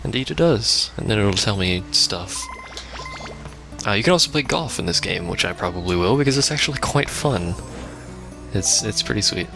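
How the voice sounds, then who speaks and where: A man's voice: lowish voice, animated, normal speed, vocal fry. A man giving casual, rambling live game commentary as a let's play host, with wry asides. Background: video game music.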